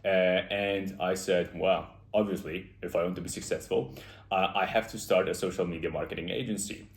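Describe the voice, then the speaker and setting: A man's voice: deep voice, steady tone, normal speed. A man in his twenties with a Polish accent, talking earnestly and off the cuff about lessons and goals, with many "uh" fillers. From Poland. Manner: earnest, reflective, with frequent "uh" fillers. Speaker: self-improvement YouTuber. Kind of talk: unscripted motivational monologue. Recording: echoey room.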